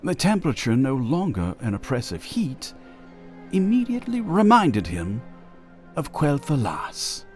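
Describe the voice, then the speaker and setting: A man's voice: medium pitch, huge pitch swings, unhurried, darkish tone, faint music behind. A man narrating a fantasy audio drama in a scripted storytelling style.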